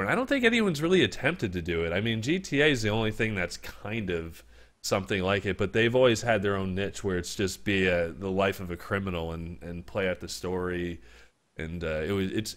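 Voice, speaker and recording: A man with a New York accent hosts a casual online interview. His voice sits a little low, and he rambles through restarts and chuckles often. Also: fairly bright tone. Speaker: interview host.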